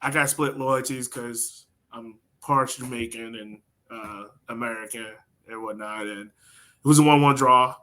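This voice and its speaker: A man's voice: mid-range voice, fairly even intonation, measured pace, sharp tone. A man co-hosting a soccer podcast, talking in an informal, slangy way and telling stories casually. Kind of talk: casual sports chat.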